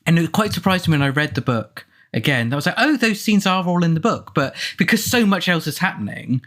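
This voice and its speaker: A man chatting casually in a discursive, thoughtful way, as in a relaxed film commentary. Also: on the high side, a little fast. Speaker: author and film commentary speaker.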